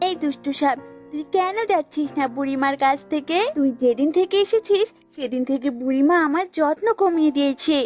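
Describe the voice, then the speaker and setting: A sulky, jealous goat from a children's cartoon, played by a woman, in a high voice. It scolds and grumbles like a neglected pet, with music playing behind. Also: brisk, a little mellow, lightly acted. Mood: angry, spiteful. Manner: scolding, complaining. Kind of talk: cartoon voice acting in a children's fable.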